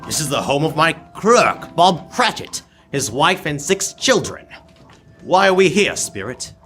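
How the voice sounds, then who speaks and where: A man's voice: a little high, sing-song, unhurried, a bit bright, faint music behind. A young man playing an anguished, pleading miser in an old-time radio drama. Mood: humbled, curious. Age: teens.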